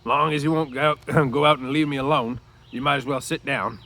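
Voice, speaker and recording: A man's voice: medium pitch, steady tone, fairly quick, creaky, bright, forceful tone, voice pushed up. A man voicing a proud, aloof stable hand, bitter and defensive, with complaining rural speech in a storybook reading. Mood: grudging. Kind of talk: storybook read-aloud character voice.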